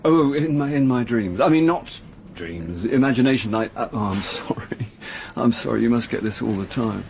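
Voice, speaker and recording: A man's voice: medium pitch, normal speed, gravelly, darkish tone, a little hiss or hum, lightly acted. An actor playing a flawed, sardonic middle-aged man with an English accent, self-deprecating and prone to stammering, in a radio drama. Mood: flustered. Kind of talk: radio drama acting with first-person narration.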